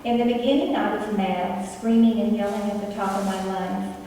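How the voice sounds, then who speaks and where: A soft-spoken woman gently reading a personal remembrance aloud to a fellowship gathering. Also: medium pitch, steady tone, moderate pace, a crowd in the background. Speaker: fellowship member. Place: large meeting hall indoors. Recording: echoey hall, far from mic, background noise.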